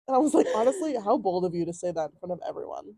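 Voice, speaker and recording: A young woman co-hosting a podcast, chatting casually with a friend, slang-heavy and quick to laugh. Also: on the low side, big pitch swings, slightly rough, dry recording. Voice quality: loud projecting voice. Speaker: podcast co-host. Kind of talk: casual chat.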